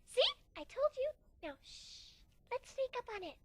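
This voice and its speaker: A man voicing a cheerful, excitable pegasus mare in a squeaky, gushing, sing-song voice for an amateur dramatic story reading. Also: measured pace, no room sound, exaggerated voice. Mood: bubbly, excited. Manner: bouncy and excited, teasing. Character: cheerful pegasus mare, bubbly, turns demented.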